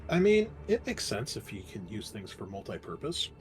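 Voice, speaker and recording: A man's voice: on the low side, wildly swooping pitch, normal speed. A man playing a tabletop roleplaying game, talking informally and thinking aloud about his character's moves. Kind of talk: casual table talk.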